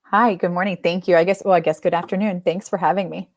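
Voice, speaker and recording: A woman from Ohio chats as a guest in a friendly, easygoing way, laughing often. Her voice sits at a middle pitch and sounds close and dry, with no room echo. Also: fairly even intonation, quick pace. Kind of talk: casual chat.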